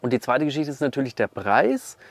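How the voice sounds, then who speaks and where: Guy in his 30s doing a camping review vlog, chatty and animated, dry close sound, clip mic in his van. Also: mid-range voice, a little fast, no room sound. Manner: chatty, animated, gesturing with hands. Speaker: camping vlogger. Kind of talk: casual review vlog with voice-over. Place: inside a camper van. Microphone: small clip-on mic.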